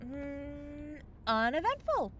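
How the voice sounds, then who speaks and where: A woman's voice: high voice, big pitch swings, slow, a bit bright, slight background noise, heavily acted. A woman playing a perky, scheming young woman who sweet-talks and dodges in a comedic fantasy audio drama. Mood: evasive, breezy.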